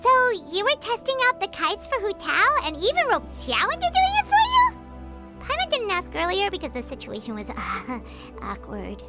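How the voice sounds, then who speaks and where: A woman voicing a tiny, chirpy, childlike fairy companion in a video game, with a squeaky, perky voice. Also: huge pitch swings, normal speed, full character voice. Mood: curious, teasing. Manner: refers to herself in third person, perky. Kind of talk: English video game voice acting. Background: loud game music.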